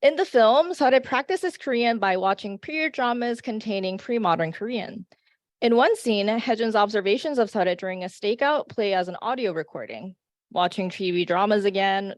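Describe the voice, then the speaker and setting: A woman presenting an academic paper at a conference, reading in a measured way with frequent "uh" fillers and restarts. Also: mid-range voice, no echo. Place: conference session.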